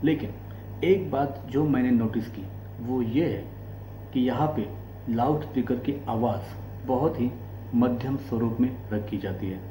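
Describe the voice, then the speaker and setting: Echoey, mellow Indian male commentator. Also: medium pitch, a little slow. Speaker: host of a commentary channel. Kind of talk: explanatory political commentary to camera. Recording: echoey room, faint background noise and hum.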